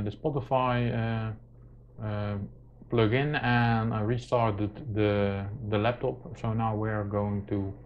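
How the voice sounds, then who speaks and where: Male tutorial presenter, informal, unhurried and hesitant, on a slightly muffled mic. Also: on the low side, slight background noise. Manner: halting, very frequent hesitations. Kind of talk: informal hands-on tutorial narration. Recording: muffled lo-fi mic, music behind.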